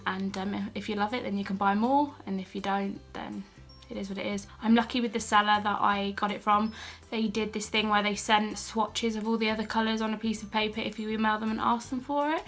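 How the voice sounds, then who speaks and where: Young British woman, croaky from a cold, flat and chatty haul-vlog delivery. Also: mid-range voice, a little fast, few pauses, soft background music. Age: young adult. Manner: chatty and self-deprecating, with asides and fillers. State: has a cold, a bit croaky. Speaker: beauty and haul vlogger. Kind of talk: casual haul vlog chat.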